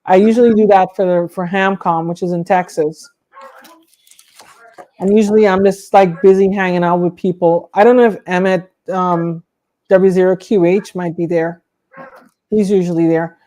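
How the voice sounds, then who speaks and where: A woman's voice: on the low side, steady tone, moderate pace, warm tone. A woman co-hosting a livestream, chatting casually about her hobby in an informal, conversational way. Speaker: livestream co-host.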